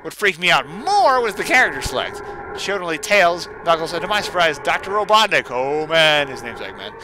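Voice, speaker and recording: A man's voice: a little high, big pitch swings, a little fast, background noise. A man hosting a gaming stream, mixing casual commentary and mock dramatic reading with sarcastic, self-deprecating asides.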